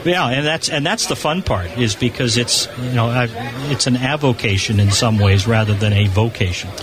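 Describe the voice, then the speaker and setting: A man with a mid-pitched voice gives an informal technical explanation as a guest on a live radio show. He is at a convention booth, with a clearly audible crowd behind him. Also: moderate pace. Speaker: amateur satellite enthusiast, show guest. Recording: busy crowd noise, live radio broadcast. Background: crowd chatter.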